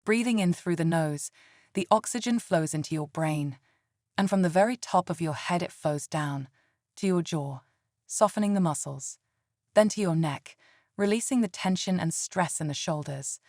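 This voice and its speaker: A woman leading a scripted guided meditation in a calm, soothing and gentle way. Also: on the low side, moderate pace, dry recording, studio quality.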